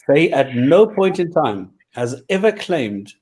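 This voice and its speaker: A man's voice: on the high side, sing-song, darkish tone, rough recording. A man co-hosting a casual talk show, reading out translations and stopping to explain and repeat his points. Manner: measured, repeats himself, stops to clarify points. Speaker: podcast co-host.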